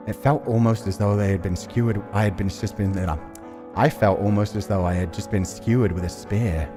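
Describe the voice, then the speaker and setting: Low-pitched man over prominent music. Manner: musing, thinking aloud. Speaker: host playing and reading a visual novel. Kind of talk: casual game commentary and narrated reading.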